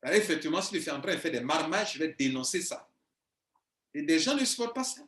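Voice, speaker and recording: Guy in his 40s, high voice, pushy and emphatic, echoey room. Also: sing-song, fast, fairly bright tone. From Ivory Coast. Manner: insistent, emphatic, repeats himself. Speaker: online business coach. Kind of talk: video monologue to viewers.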